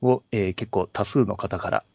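Low-voiced Japanese podcast man, dry sound. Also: creaky, a little mellow, breathy edge, no room sound. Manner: apologetic, halting and polite. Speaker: podcast co-host. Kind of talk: casual podcast chat.

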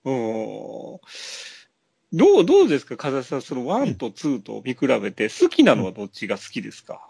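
Male podcast co-host, creaky raspy voice, casual film talk, agreeable and conversational. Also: mid-range voice, wildly swooping pitch, moderate pace, dark tone, no echo.

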